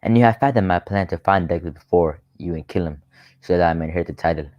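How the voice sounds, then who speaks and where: A man plays a smug, scheming gentleman in an old-time radio mystery. His voice is low and closely recorded, all mock courtesy and sly taunting. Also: fast, no room sound, close to an everyday voice. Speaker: actor in a student radio drama.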